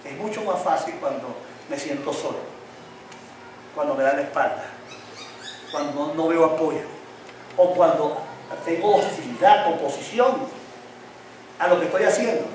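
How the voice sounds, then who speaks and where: A man's voice: a little high, measured pace, long pauses, a little mellow, slight background noise. Man preaching in an echoey church. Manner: call-and-response questions to the congregation; says he has a tongue-tie that tangles his speech. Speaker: pastor. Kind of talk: sermon. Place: church hall. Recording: very echoey hall, mic at a distance.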